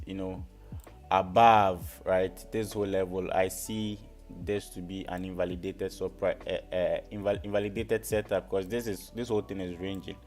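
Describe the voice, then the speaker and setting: Guy with a low voice doing a live trading stream, repeats himself a lot, guitar music playing behind. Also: a little mellow. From Ghana. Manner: repeats phrases, checks in often with "right?". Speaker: forex trading educator and live stream host. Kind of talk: live stream teaching, market analysis.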